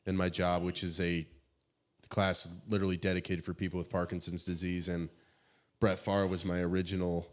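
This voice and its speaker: A young man with a low voice and a slightly stuffed-up nose chats on a casual podcast. He talks in halting bursts, leaning on fillers and restarting his thoughts. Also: flat delivery. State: stuffed up from allergies. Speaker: podcast co-host. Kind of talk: casual chat.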